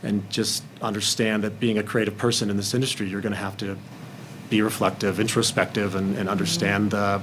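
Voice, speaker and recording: Low voiced guy on a film panel talking candidly, some room noise behind him. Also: little pitch movement, fairly quick. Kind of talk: candid roundtable discussion.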